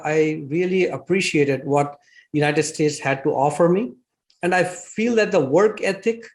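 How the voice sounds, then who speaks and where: A man in his sixties from India, telling his life story as an interview guest in a chatty way, with many fillers. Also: on the high side. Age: 60s. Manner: warm, chatty, with frequent fillers and laughs.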